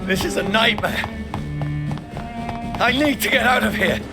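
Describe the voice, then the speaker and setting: An actor playing a frightened, bewildered gentleman vampire in a video game, anguished and pleading. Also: sits high, measured pace, over loud music. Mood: panicked pleading. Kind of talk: dramatic video game acting.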